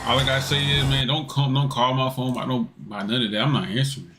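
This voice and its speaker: A man's voice: mid-range voice, steady tone, quick pace, over music. An American man giving casual, slangy reaction commentary, often repeating his phrases. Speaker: reaction video creator.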